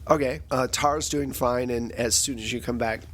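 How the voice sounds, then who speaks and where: A man's voice: medium pitch, steady tone, moderate pace, fairly bright tone. A man casually narrating a tabletop sci-fi game as its game master, improvising as he goes.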